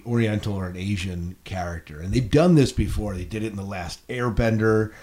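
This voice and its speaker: A man hosting a casual podcast chat, repeating words and halting as he puts points to his co-hosts. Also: fairly low pitch, mellow. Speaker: podcast host.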